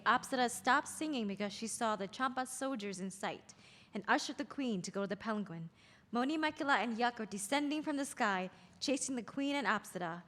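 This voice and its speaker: A woman's voice: a little high, a bit bright. A woman narrating scripted stage directions at a play's table read. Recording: long reverberant hall echo.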